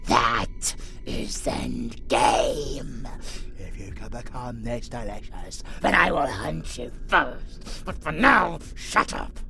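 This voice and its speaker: A savage vampire abomination, hungry for prey and full of profane taunts. The delivery is very drawn out and gravelly, lurching wildly between hissed whispers and roars. It is horror roleplay at full fury. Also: medium pitch, pushed and bright, heavily acted. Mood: hungry, furious. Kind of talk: horror audio roleplay acting.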